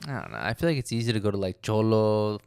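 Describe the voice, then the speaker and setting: A man's voice: on the low side, big pitch swings, fast talker, creaky, a little mellow, clean tone, no echo, clean studio sound. A man co-hosting a podcast, chatting casually in a laid-back way and calling his friend dude. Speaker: podcast co-host. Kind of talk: casual chat.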